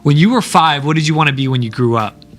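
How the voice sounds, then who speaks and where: A bright-voiced young man chats easily as host of a live stream, tossing out questions and joking about himself. Soft music plays faintly behind him. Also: a little high, expressive, fast talker. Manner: chatty, reads viewer comments, self-deprecating jokes. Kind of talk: casual livestream chat.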